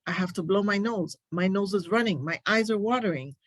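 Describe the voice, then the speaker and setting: A woman's voice: medium pitch, a little fast, dry recording. A woman nurse speaks as a podcast guest, telling her story with emphasis and plenty of detail. Her voice sits in a middle range and is recorded dry and close, with no room sound around it. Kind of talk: casual podcast interview.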